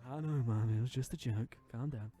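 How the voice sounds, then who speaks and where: A man's voice: mid-range voice, a little fast, mellow, faint music behind, voice pushed down, natural voice. A man voicing a sarcastic, casual teenage boy, the protagonist of a visual novel, in a wry teasing way.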